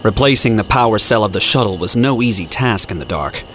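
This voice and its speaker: A man's voice: fairly low pitch, lively intonation, a little fast, a bit bright, a little hiss or hum. A man narrating an audio drama in a scripted, dramatic storytelling style.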